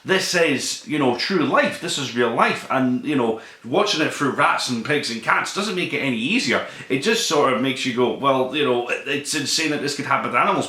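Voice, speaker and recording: A man hosting a solo podcast book review, speaking conversationally in an earnest, emphatic way with dramatic pauses. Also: mid-range voice, pushed and bright. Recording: roomy, echoing room sound.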